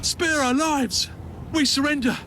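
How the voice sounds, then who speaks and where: Scared game soldier begging, super high strained-up pitch, urgent. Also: male voice, fairly even intonation, a little slow, pushed and bright, faint music behind, full character voice. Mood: desperate. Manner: urgent, fearful pleading. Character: desperate, frightened male rebel soldier begging, eager to prove loyalty. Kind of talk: video game dramatic acting.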